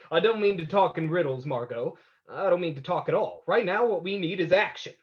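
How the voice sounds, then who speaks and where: A resolute, cryptic sleuth acted by a man in an old-time radio drama. He sounds measured and decisive, pitched a little high, on slightly dull audio. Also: lively intonation. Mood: determined. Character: resolute, cryptic male crime-fighting sleuth.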